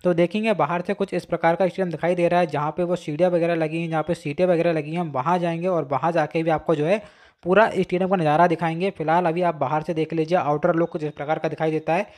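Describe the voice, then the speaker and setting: A man narrates a walking tour of a stadium, talking quickly in a fairly high voice. He chats to his viewers as friends and repeats the same filler phrases, recorded on a phone he holds as he walks. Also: steady tone, darkish tone. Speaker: vlogger. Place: inside and around a stadium, walking. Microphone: phone camera held while walking.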